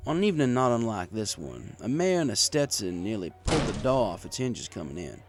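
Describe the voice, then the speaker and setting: A man's voice: on the low side, sing-song, brisk. A man reading a story aloud in the first person as a bartender narrator. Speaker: audiobook reader. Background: music.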